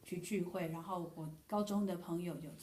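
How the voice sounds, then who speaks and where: A woman interpreting a sermon testimony sentence by sentence in a brisk, matter-of-fact way. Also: on the low side, fairly even intonation, mellow, a little hiss or hum. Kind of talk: consecutive church interpretation. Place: church hall. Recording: echoey room from far off.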